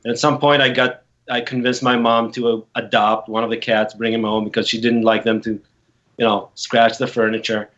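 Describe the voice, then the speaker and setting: A man's voice: medium pitch, level tone, normal speed, a bit bright. A man from Israel in his forties, telling his story as an interview guest in an earnest, reflective way with frequent restarts. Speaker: interview guest, activist founder. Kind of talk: casual interview talk. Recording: dull, lo-fi sound.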